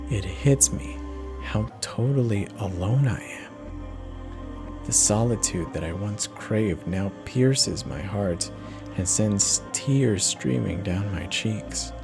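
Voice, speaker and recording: Man, low voice, slow measured pace, theatrical horror narration, dramatic pauses, faint music underneath. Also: vocal fry. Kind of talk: dramatic first-person horror story reading.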